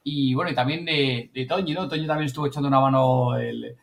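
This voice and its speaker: A man's voice: mid-range voice, steady tone, fast, vocal fry. A man co-hosting a podcast in casual, colloquial chat. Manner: informal, colloquial. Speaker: podcast co-host.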